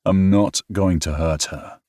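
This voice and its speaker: A man voicing a calm, wry British single dad, with a light British accent and a slightly raised voice. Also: deep voice, measured pace, no room sound, studio quality, voice pushed up.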